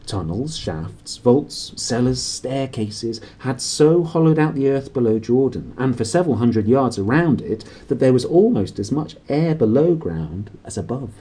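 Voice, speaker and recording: A man reads a children's story aloud in a soft-edged, husky voice. His pitch sits fairly low but moves widely as he leans into dramatic emphasis. The tone stays mellow. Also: animated, a little slow, few pauses. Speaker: storybook reader. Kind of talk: expressive read-aloud of a children's novel. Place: small cluttered room indoors.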